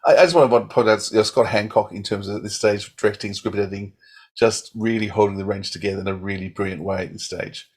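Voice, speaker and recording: A man's voice: lowish voice, fairly even intonation, quick pace, breathy edge. A man giving his opinions as a podcast panelist, often repeating words as he starts a thought. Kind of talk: casual opinionated discussion.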